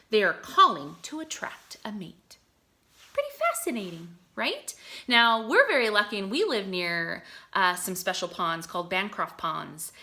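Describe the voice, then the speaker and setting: A woman teaching through a read-aloud, with expressive delivery, explanatory asides and check-in questions. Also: a little high. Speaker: teacher reading poems aloud to children.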